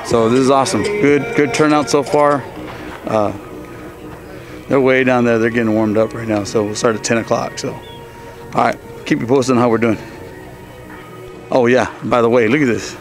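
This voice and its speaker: A man organizing a youth softball clinic, talking casually to his handheld camera outdoors in a loose, upbeat way with plenty of fillers. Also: medium pitch, steady tone, normal speed, long pauses, soft background music. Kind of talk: casual vlog narration to camera.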